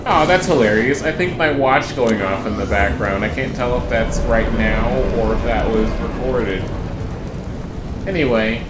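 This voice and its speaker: A man's voice: medium pitch, unhurried, very noisy. Roomy-sounding man doing playful game commentary. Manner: playful, chatty, rambling asides. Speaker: gamer, video host. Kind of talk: casual gameplay commentary. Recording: roomy voice over loud music.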